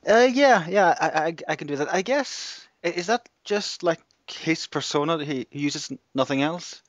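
A man's voice: on the high side, lively intonation, moderate pace, no room sound. A man chatting casually and hesitantly as he plans a video, on a somewhat dull recording. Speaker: video creator. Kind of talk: casual chat while planning a video.

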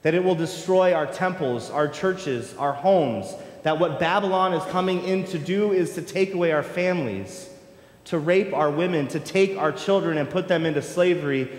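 A man in his thirties preaches with impassioned, repetitive cadence, his voice sitting a little higher than most men's. The sound carries through a large church sanctuary with a long, echoing reverb. He is picked up from a distance by the room microphone. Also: moderate pace. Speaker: pastor. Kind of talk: sermon. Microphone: distant room mic, wide camera.

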